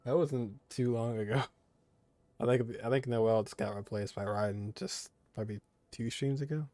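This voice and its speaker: A man's voice: medium pitch, normal speed, mellow, dry recording. A man speaking as a gaming streamer, giving casual, slangy commentary while he plays. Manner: informal, slangy. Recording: game music behind the voice. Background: video game music.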